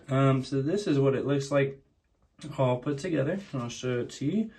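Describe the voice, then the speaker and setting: A man's voice: mid-range voice, darkish tone, slight background noise. A man hosting a casual product unboxing, explaining things plainly, step by step. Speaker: unboxing video host.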